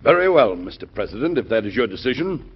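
Animated, earnest American official, old radio. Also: male voice, mid-range voice, quick pace, slightly rough. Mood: earnest, defiant. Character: earnest, stubborn American government forestry official. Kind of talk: old-time radio drama acting. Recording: dull old radio recording.

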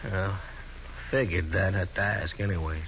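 An actor playing a hard, vengeful cowhand on a cattle drive in a 1950s radio western, talking bluntly and folksily. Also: male voice, sits low, steady tone, moderate pace, muffled recording. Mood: grim. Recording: noisy, band-limited 1950s radio recording.

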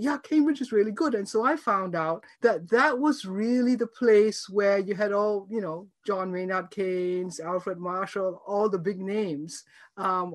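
Older woman from Singapore, thoughtful. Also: medium pitch. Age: 70s. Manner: thoughtful, with frequent fillers and small laughs. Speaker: guest. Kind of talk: conversational interview.

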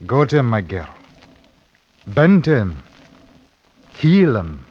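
An actor playing a proud, stern young Scottish duelist and wronged lover in a radio melodrama, clipped and solemn. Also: male voice, medium pitch, very animated, slow, husky, heavily acted. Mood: proud, bitter. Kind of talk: old-time radio drama acting. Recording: muffled old radio recording.

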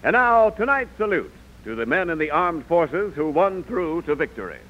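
A man's voice: on the high side, very animated, normal speed, background noise. An American man working as a radio announcer, reading scripted copy in a polished, declamatory 1940s style. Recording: muffled old radio broadcast.

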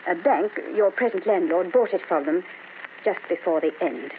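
A woman's voice: on the low side, moderate pace, close to an everyday voice. Woman acting polite knowing neighbor lady, reserved, old radio play, tinny with a bit of hiss. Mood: grave, ominous. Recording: tinny old radio recording, faint noise.

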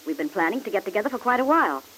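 A woman's voice: mid-range voice, very animated, rapid-fire, fairly bright tone. A woman playing a straight-talking, big-hearted confidante in an old-time radio serial, blunt and folksy. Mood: firm, offended. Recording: hissy, noisy old radio recording.

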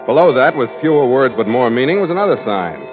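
Actor as a hard-boiled skipper on tinny old radio. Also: male voice, mid-range voice, big pitch swings, fast talker, over music, lightly acted. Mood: wry narration. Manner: wry, clipped. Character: hard-boiled, sardonic seafaring adventurer, a grown man. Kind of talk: 1940s radio acting, first-person narration.

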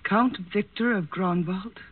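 A woman's voice: medium pitch, a little hiss or hum, lightly acted. Woman playing cool countess, narrow old-radio sound. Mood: measured, guarded. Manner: measured, cool, courteous. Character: poised aristocratic countess, cool and guarded. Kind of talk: 1940s radio acting. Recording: band-limited old 1940s radio recording.

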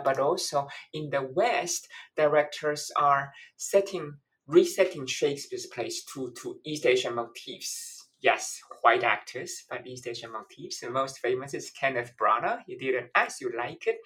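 A woman's voice: extremely low pitch, fairly bright tone, low-quality recording. Woman prof from taiwan, talks through ideas in lists, restarts a lot, podcast interview. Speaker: professor, author. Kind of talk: academic interview answers.

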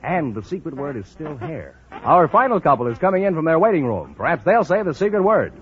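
A dull old radio recording with faint noise carries an American male announcer. His voice is high and swings in a lively way as he announces a quiz show. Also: brisk.

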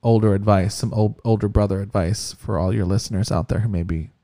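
A man's voice: fairly low pitch, steady tone, brisk, mellow. A man on a podcast, giving blunt, profane advice in casual chat. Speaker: podcast co-host.

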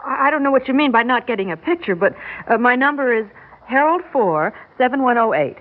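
A woman's voice: a little high, expressive, fairly quick, natural voice. An actress playing a chatty, easily exasperated young New York woman in a radio drama, baffled and sarcastic in a conversational way. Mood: puzzled. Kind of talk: 1960s radio drama acting. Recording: muffled narrow-band old radio recording.

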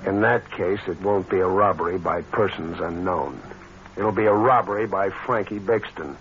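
An actor with an American accent playing a smooth, cold-blooded schemer in a vintage radio crime drama, in terse hard-boiled slang. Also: male voice, low-pitched, moderate pace, fairly bright tone. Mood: coolly calculating. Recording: muffled, noisy old radio recording.

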